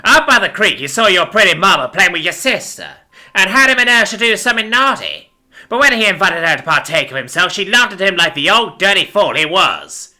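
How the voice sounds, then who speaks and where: A man's voice: sits high, sing-song, a little fast, pushed and bright, faint music behind, voice pushed up, exaggerated voice. A man voicing a callous, corrupt pig policeman in a story, with crude, folksy, scolding speech. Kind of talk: dramatic storybook character voice.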